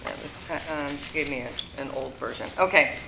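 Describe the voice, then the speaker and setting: A woman's voice: rumbling low, normal speed, vocal fry. A woman walking a committee through a budget at a public meeting, chatty and correcting herself with fillers. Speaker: school budget administrator. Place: meeting room. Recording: echoey room, background noise.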